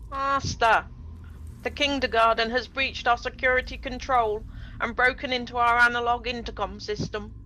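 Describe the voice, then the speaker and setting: Woman playing a bright, dutiful henchwoman. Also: fairly high pitch, little pitch movement, moderate pace, lo-fi sound, slight background noise. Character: earnest, dutiful villain's henchwoman reporting to master. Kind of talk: comedic radio play acting.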